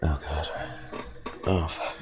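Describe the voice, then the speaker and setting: A man doing casual live commentary on a game, halting, deadpan and often swearing. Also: very deep voice. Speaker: streamer reacting to a game. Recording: game music behind. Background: video game music and dialogue.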